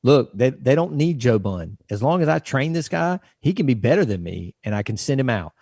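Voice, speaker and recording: A man in his late forties co-hosting a podcast, telling stories in an animated, emphatic way. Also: medium pitch, fairly quick, vocal fry, no echo, clean studio sound. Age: 40s. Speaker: podcast co-host. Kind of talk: casual chat.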